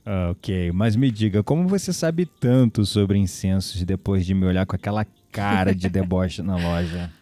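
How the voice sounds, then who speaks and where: Man acting smitten young Brazilian guy, low and mellow. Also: moderate pace, hardly any pauses, no room sound, natural voice. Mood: teasing, amused. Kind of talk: audio drama acting.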